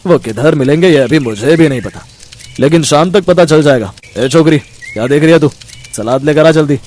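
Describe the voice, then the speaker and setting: A man voicing a gruff, bossy, slangy street gangster in a cartoon story. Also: on the high side, brisk, darkish tone, close to an everyday voice. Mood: irritated. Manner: brusque, slangy. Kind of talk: Hindi cartoon moral story.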